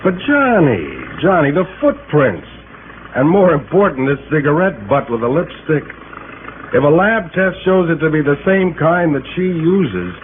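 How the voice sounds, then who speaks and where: A man's voice: fairly high pitch, very animated, measured pace. Insistent lawman on tinny old radio. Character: confident, insistent small-town male lawman. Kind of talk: 1950s radio drama acting. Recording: narrow, noisy old radio broadcast.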